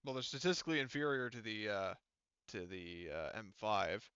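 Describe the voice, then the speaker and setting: A man narrating a tabletop game as game master in a casual, halting, rambling manner. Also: lowish voice, animated, fairly bright tone, clean tone, dry recording, muffled recording. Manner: halting, rambling, filler-heavy explanations.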